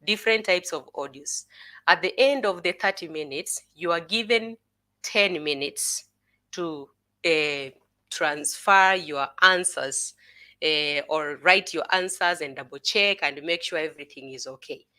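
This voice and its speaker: A woman's voice: deep voice, measured pace, bright, forceful tone. A young woman explaining an exam as a tutor, unscripted, with many "uh" and "you know" fillers, repeated words, and checks like "okay?". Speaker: IELTS tutor.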